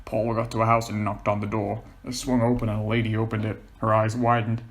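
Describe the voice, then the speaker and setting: A man's voice: lowish voice, little pitch movement, brisk. A man reading scripted fiction aloud as the narrator.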